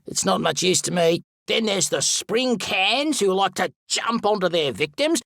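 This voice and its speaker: A man's voice: high voice, gravelly, bright, forceful tone, no room sound, studio quality, full character voice. A man playing an eccentric, self-important Australian wildlife explorer in a video game, chatty and pompous, rambling through long muddled words. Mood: nervy, chatty. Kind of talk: animated video game character acting.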